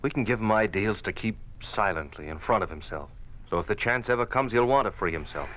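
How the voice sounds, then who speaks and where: An actor playing an earnest, anxious husband and father in an old-time radio drama, gentle and a little hesitant. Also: lowish voice, expressive, fairly quick. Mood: gentle, reassuring.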